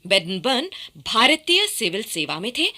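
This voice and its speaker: A woman reading scripted radio documentary narration with formal, expressive enunciation. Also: on the high side, measured pace.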